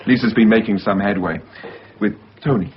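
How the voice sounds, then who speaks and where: A man playing a measured, understated mental-health worker briefing a colleague in a naturalistic radio drama. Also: fairly low pitch, slight background noise, natural voice. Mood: explanatory. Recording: dull lo-fi radio recording.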